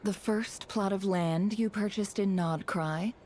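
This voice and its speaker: A woman's voice: mid-range voice, a crowd in the background, close to an everyday voice. A woman voicing a poised, shrewd woman in a video game, formally courteous and slyly teasing. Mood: slyly probing. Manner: formal, measured politeness with sly probing.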